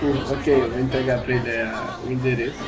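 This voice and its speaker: A man's voice: mid-range voice, level tone, normal speed, a loud crowd. A Brazilian man chatting casually and slangily as a player in a tabletop game, with music behind. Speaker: role-playing game player.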